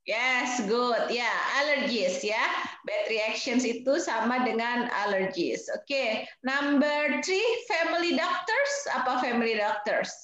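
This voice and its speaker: A woman's voice: on the high side, animated, slow pace, fairly bright tone. A woman from Indonesia in her thirties, teaching English vocabulary to nursing students in a chatty way, with English terms mixed into her explanations and quiz questions to the class. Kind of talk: online class lecture mixing two languages. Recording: very echoey, dull lo-fi sound.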